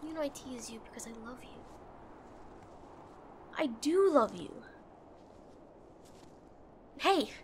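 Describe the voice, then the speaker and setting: A woman playing a brusque, protective and frightened tsundere girlfriend in a roleplay audio drama, speaking urgently and haltingly straight to the listener. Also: high voice, sing-song, a little mellow, slight background noise, heavily acted. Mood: urgent, pleading. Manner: bossy, urgent, emotional, halting.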